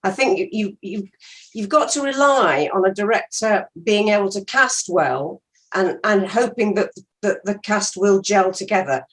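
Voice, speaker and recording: A woman's voice: medium pitch, animated, unhurried. Older woman, reflective chat. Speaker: actor being interviewed.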